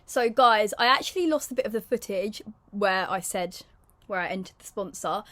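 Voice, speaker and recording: Young British woman, slightly croaky, higher-pitched. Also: fairly bright tone, a little hiss or hum. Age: young adult. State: getting over a cold. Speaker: vlogger. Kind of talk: casual chatty sit-down talk to camera.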